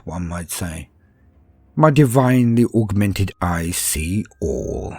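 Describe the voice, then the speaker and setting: A man playing a scheming, fanatical villain of a dark crusade, gravelly and breathy, in a slow, contemptuous storybook monologue. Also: sits low, no echo, heavily acted. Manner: slow, menacing, savouring pauses. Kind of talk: dramatic first-person villain monologue in audio story. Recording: music bed under monologue.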